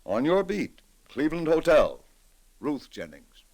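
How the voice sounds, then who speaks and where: An actor playing a grave, reflective middle-aged judge in an old-time radio drama, speaking in a measured, deliberate, dramatic way. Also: male voice, fairly high pitch, sing-song, a little mellow, full character voice. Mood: solemn, deliberate. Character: grave, reflective male judge with a troubled conscience.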